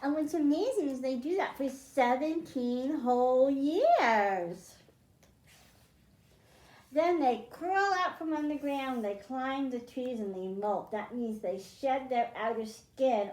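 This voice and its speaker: A woman's voice: a little high, a little mellow. A woman hosting a children's storytime, reading aloud and explaining science simply to young listeners. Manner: simple explanations addressed to children, with questions to them. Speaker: children's librarian and storytime host. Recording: roomy, echoing indoor sound.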